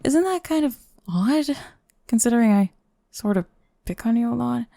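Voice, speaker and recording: A woman's voice: on the high side, lively intonation, moderate pace, warm tone, dry recording. A young woman playing a sardonic goth bully girl in an intimate ASMR roleplay, grumbling and teasing the listener up close. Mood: teasing disbelief. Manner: teasing, grumbling, sighing, dropping into breathy murmurs. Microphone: close microphone, dry.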